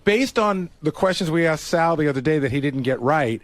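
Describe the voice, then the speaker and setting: A man's voice: fairly high pitch, lively intonation, a little fast. A man who is a regular on a radio show, talking casually and arguing his points assertively. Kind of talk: casual studio discussion.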